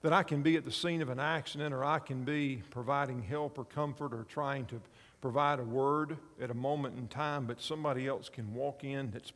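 A man's voice: mid-range voice, fairly even intonation, fairly quick, hardly any pauses, a bit bright, big-room sound. A man in his fifties preaching a sermon, telling a story earnestly and drawing the congregation in. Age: 50s. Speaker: pastor and chaplain.

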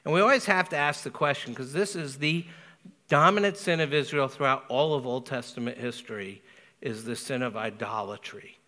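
A man preaching a sermon as pastor, speaking earnestly with a Spanish accent and slowing down to stress key words. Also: medium pitch, bright, forceful tone. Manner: earnest, measured preaching with emphatic slow stresses.

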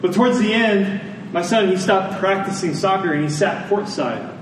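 An American man, middle-aged, preaching a sermon in a conversational way, with short deliberate phrases and rhetorical questions. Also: high-pitched. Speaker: pastor preaching. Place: large church meeting room with congregation. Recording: echoey hall, distant mic, faint noise.

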